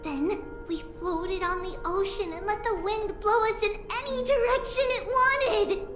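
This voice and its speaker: A small, innocent girl for a game cutscene, with a tiny high voice and slow, halting delivery. She sounds eager and earnest, with music behind her. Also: steady tone, roomy sound. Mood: wondering, happy. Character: innocent, eager little girl, daughter of a priestess. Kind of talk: video game voice acting.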